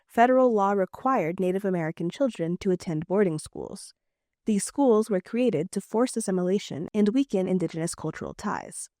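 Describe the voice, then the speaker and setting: A woman radio reporter reads a scripted news feature in a measured, clear way. Her voice sits at an everyday middle pitch and is recorded close and dry, with no room sound. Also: dark tone, no room sound.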